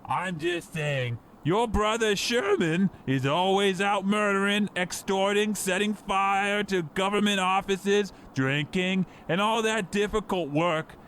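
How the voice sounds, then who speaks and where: A man's voice: high voice, slow, few pauses, a bit bright, slight background noise. A man voicing a nagging, doting working-class mother in a comic old-time radio play. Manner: chiding, matter-of-fact.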